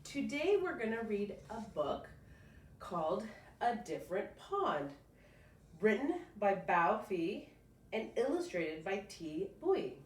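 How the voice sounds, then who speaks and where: A woman teacher reading a picture book aloud to a child, pausing to explain and prompt with encouraging questions. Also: medium pitch, big pitch swings. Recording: roomy, distant mic, faint noise.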